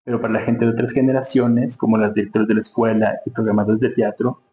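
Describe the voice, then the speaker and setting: A man's voice: on the low side, flat delivery, fast talker. A man with an Ecuadorian accent talking casually as an interview guest, informal and reflective, thinking aloud. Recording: roomy, dull sound with faint hum.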